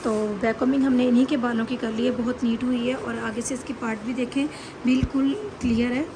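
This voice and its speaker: A woman's voice: fairly high pitch, flat delivery, a little fast. A woman with a Pakistani accent giving an informal, instructive hairstyling tutorial as a salon instructor, often checking that listeners follow. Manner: instructive, repeatedly checks with "okay". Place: indoor beauty salon. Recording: very noisy salon room recording. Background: loud background noise.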